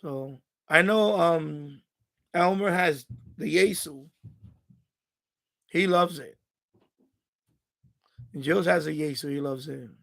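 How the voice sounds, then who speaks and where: A middle-aged man hosting a casual live stream, rambling and thinking aloud in a halting way. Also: fairly high pitch, creaky, fairly bright tone.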